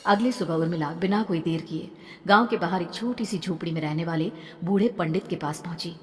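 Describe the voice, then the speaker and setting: Casting: woman storyteller for horror tales, fairly low and dramatic, reverberant over soft music. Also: fairly quick. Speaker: narrator. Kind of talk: scripted horror story narration.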